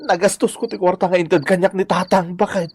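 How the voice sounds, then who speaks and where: A man's voice: high-pitched, steady tone, brisk, a little mellow, slight background noise. A man playing a flustered, evasive son-in-law in hiding, in an Ilocano radio comedy drama. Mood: nervous. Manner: flustered, hesitant, pleading. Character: Domeng, evasive son-in-law hiding in fear after misspending money.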